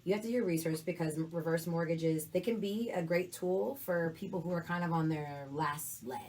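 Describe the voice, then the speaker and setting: A raspy, very low-pitched woman speaks as a financial advisor guest on a talk show. She explains things in plain terms and keeps checking in with her listener, with faint room ambience behind her. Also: brisk, darkish tone, slight background noise. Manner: explains with frequent "right?" check-ins.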